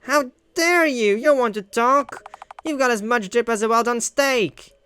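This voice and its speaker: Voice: medium pitch, measured pace, bright, forceful tone, clean tone, voice pushed up. A game host voicing a mocking, hot-tempered teenage girl from a visual novel in a taunting, theatrical way. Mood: indignant taunting.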